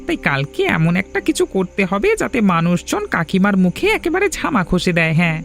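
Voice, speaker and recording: A man voicing an excitable scheming husband. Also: sits high, a little fast, vocal fry, full character voice. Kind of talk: cartoon dubbing. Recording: faint music behind.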